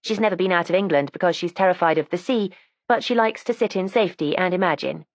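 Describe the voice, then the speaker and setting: A woman's voice: on the low side, fast, dry recording, natural voice. A woman reading the part of a precocious, matter-of-fact teenage schoolgirl who chats candidly and primly. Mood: earnest. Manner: chatty, candid and prim.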